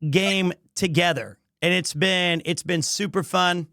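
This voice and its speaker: A man live streaming a game, chatting casually and excitably with viewers, often repeating his phrases. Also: high voice, measured pace, no room sound, clean studio sound. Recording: music faintly behind the voice. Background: faint music.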